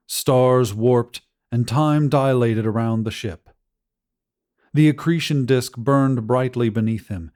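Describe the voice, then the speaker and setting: A man's voice: medium pitch, warm tone. A man narrating a scripted short story as an audiobook reader.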